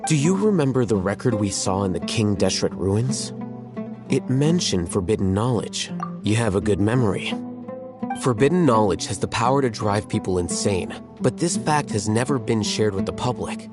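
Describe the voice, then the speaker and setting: A composed scholar-strategist, voiced by a man, laying out his reasoning in a low, measured, deliberate voice. He sounds patient and precise, like someone used to explaining things. Soft game music sits faintly behind him. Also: lively intonation, close to an everyday voice. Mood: calm. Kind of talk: video game dialogue.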